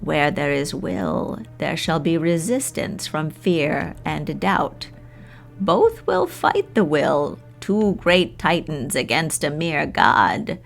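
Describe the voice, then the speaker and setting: A woman voicing a solemn mage who gives counsel in slow, measured words, in a storybook reading. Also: sits low, big pitch swings, gravelly, soft background music, exaggerated voice. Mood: grave.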